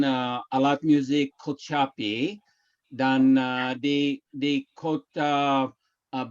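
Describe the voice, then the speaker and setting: A man's voice: mid-range voice, a little mellow, clear, resonant tone, lo-fi sound. A man from the United States, a native English speaker, asking a question in hesitant learner Indonesian with many ums and uhs.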